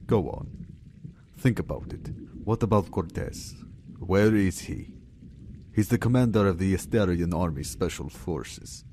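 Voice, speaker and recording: A man playing a sadistic Russian ex-intelligence captain in an audio drama, taunting and menacing with theatrical, drawn-out lines. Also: low voice, mellow, a little hiss or hum. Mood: mocking. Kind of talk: audio drama villain acting.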